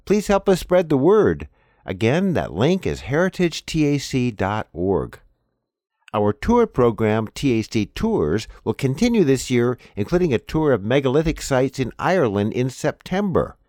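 A man's voice: medium pitch, big pitch swings, unhurried, darkish tone, dry recording, clean studio sound. A man reading a scripted announcement as the presenter of a program.

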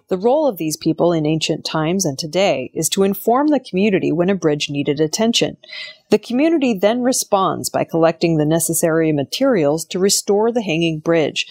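Female news reader, slightly low register, delivering scripted narration. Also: a little mellow. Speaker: news presenter.

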